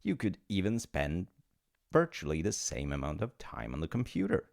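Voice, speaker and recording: Man playing a gravelly, animated, chummy afterlife agent. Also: sits low, moderate pace, full character voice. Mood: upbeat pitching. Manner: informal salesman-like patter with hesitations and chuckles. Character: chummy, rule-bending afterlife reincarnation agent, male supernatural being. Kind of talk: second-person comedic audio roleplay.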